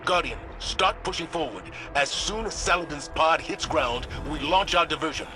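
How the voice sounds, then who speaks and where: An actor playing a resolute military commander in a video game, giving authoritative, clipped orders. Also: male voice, a little high, lively intonation, normal speed, close to an everyday voice. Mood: urgent, shouting orders. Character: resolute male commander leading a fire team. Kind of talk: dramatic video game voice acting. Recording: game dialogue under loud music.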